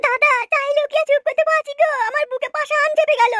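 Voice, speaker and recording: A woman's voice: very high voice, fairly even intonation, fast, background noise. A woman voicing a grief-stricken young village widow, wailing and lamenting melodramatically in a comic cartoon.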